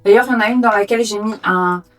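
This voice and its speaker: A woman's voice: mid-range voice, rapid-fire, soft background music, poor audio. A woman whose first language is Portuguese, chatting casually with playful, self-mocking asides while she tidies her room as a book vlogger. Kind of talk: casual chat while tidying. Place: her own hobby room.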